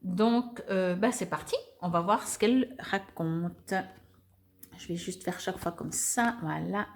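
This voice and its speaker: A woman's voice: fairly low pitch, a little slow. A woman giving a weekly oracle card reading, thinking aloud with many hesitations as she reads card texts and comments on them.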